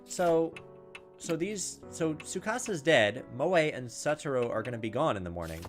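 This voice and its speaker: A man's voice: a little high, lively intonation, normal speed. A man hosting a gaming video, reading on-screen text aloud and adding flippant commentary. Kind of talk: let's-play commentary mixed with reading game text aloud. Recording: game music behind the voice. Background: video game music.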